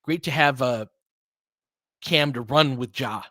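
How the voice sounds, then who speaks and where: Male, basketball play-by-play, conversational analysis, partisan fan energy, medium pitch, dry recording. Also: slow pace, pushed and bright, no echo. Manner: conversational, partisan home-team rooting, exclaiming on big plays. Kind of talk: live basketball commentary with casual analysis.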